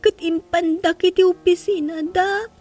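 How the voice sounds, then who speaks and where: Sad young daughter, played by a woman: very high, slow, plaintive, audio drama with music behind. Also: steady tone, a little mellow. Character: sad, hurt young daughter who feels unloved. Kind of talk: melodramatic audio drama acting. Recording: distant voice under clear music.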